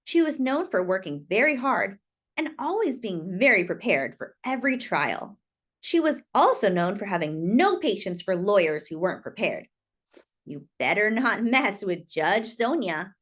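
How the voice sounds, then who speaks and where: A woman reading a children's picture book aloud expressively, with dramatic, deliberate phrasing and drawn-out emphasis. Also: medium pitch, very animated.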